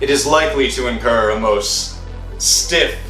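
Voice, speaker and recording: A man's voice: mid-range voice, measured pace, sharp tone, faint music behind. A man playing a ghoulish, sardonic horror host who savours macabre puns in a 1960s radio show. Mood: gleefully macabre. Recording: old radio recording, echoey.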